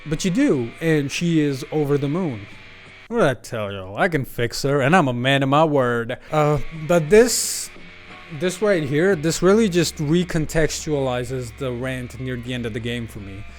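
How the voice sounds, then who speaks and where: A man's voice: mid-range voice, unhurried, soft background music. A man giving casual, unscripted video game commentary in a slangy, jokey, emphatic way.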